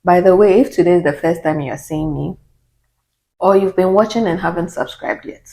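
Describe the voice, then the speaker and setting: A woman with a Ghanaian accent and a notably low voice answers viewer questions to camera. She tells her stories calmly and steadily, in a relaxed vlog style. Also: normal speed. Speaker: YouTuber. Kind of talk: casual get-to-know-me Q&A.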